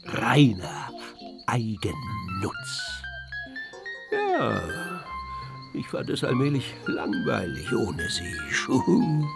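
Creaky, swooping, dignified old owl. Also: male voice, on the low side, huge pitch swings, over loud music, full character voice. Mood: dry, dignified, then companionable. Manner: formal, drawn-out, hooting interjections. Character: dignified old male eagle owl, dry, kindly friend. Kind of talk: radio play acting.

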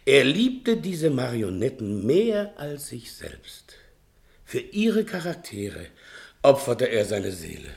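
An actor playing a fat, self-pitying, philosophizing servant in a German radio play, theatrical and brooding, moving between confiding murmurs and outbursts. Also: male voice, medium pitch, huge pitch swings, slow, gravelly, full character voice. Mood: anguished, grandiose.

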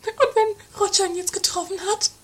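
A woman's voice: very high voice, fairly even intonation, moderate pace. A woman playing a mopey, stubborn, dramatic wife in an improvised comic radio play, in a plaintive, melodramatic manner. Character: mopey, dramatic, stubborn wife pining for her lost husband. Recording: loud music behind the dialogue.

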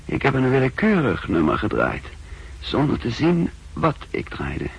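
A man's voice: mid-range voice, huge pitch swings, unhurried, creaky, full character voice. An actor playing a guarded, urgent man on the run in a 1960s radio drama, giving insistent, clipped instructions. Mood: urgent, secretive. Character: urgent, secretive man hunted by a secret police. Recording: muffled old radio recording, faint hum.

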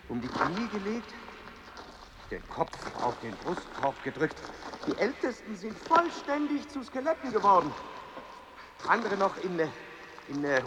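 An actor playing a proud, fussy scientist explorer in a radio play, speaking formally and with precision. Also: male voice, sits high, background noise, heavily acted. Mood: fascinated.

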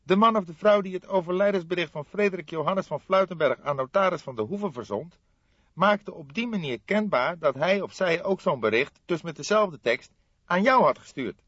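A man's voice: high-pitched, animated, normal speed, a bit bright, husky, no echo, highs cut off, pitched above the performer's own voice, heavily acted. A man voicing an earnest young police detective sidekick in an audiobook, questioning and easily indignant in manner. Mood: eager reasoning. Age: young adult. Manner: questioning, reproachful, eager. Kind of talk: audiobook character acting.

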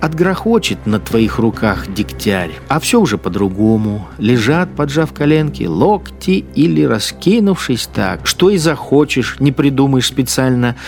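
A man's voice: medium pitch, very animated, measured pace, hardly any pauses, darkish tone. A wartime collaborator policeman with a mid-range voice. He is sneering and cruel, toying with his victims in a brooding dramatic monologue. Music runs under his words. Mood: menacing, gloating. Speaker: actor in a radio play. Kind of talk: dramatic first-person interior monologue.

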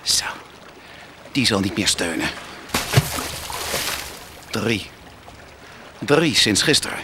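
Casting: callous soldier, lowish voice, curt and commanding radio play delivery over noisy watery ambience. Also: male voice, very animated, slow pace. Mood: callous, insistent. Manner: curt, clipped orders. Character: hard, callous army officer, fearful of plague. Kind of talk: radio drama dialogue.

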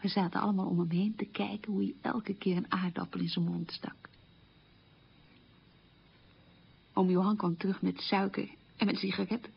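A resilient woman looking back on hard times in a radio play. Her voice is very husky with plenty of creak, and she tells it plainly and without hurry. The recording is dull and lo-fi. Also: medium pitch, flat delivery, brisk, heavily acted. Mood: reflective. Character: resilient woman recalling a poor, hungry wartime childhood. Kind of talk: radio documentary-drama monologue, recounting memories.

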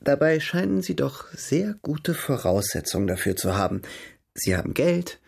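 A charming gentleman artist in a radio drama. His voice is husky and swings freely in pitch, courteous, ardent and light-hearted as he courts. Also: big pitch swings, normal speed, exaggerated voice. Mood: playful, courteous.

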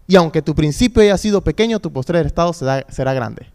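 High-voiced youth pastor preaching. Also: male voice, animated, fairly quick, a little mellow, clear, resonant tone. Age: 30s. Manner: preaching with call-and-response, emphatic repetition. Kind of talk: sermon.

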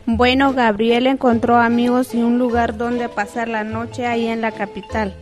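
A woman's voice: on the high side, flat delivery, normal speed, natural voice. A woman with a Guatemalan accent acting a worried rural mother who narrates a scripted radio drama. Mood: concerned. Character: worried rural mother telling the family's story. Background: music.

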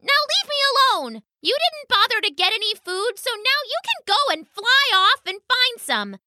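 Storybook mouse, small male, indignant and squeaky, very high and piercing female performer. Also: big pitch swings, normal speed, pushed and bright, no room sound, heavily acted. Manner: protesting, shrill. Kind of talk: children's storybook character voice.

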